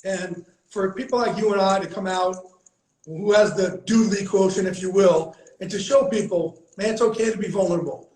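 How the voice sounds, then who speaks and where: A man's voice: high voice, fairly even intonation, moderate pace, darkish tone, echoey room, low-quality recording. A middle-aged man who hosts a candid conversation, talking bluntly and earnestly and swearing freely. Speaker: host, founder of a veterans and players group.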